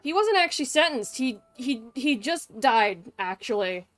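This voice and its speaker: A woman streamer with a somewhat high voice gives casual game commentary. She is dry and flippant in her joking, with faint game music behind her. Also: sing-song, unhurried, fairly bright tone. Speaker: game livestreamer.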